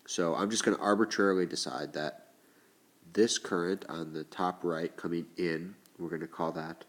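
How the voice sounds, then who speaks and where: A male teacher with a stuffed-up, congested voice, slowly explaining a lesson step by step as he writes. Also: sits low. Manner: slow, deliberate explaining while writing. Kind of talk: recorded classroom lesson.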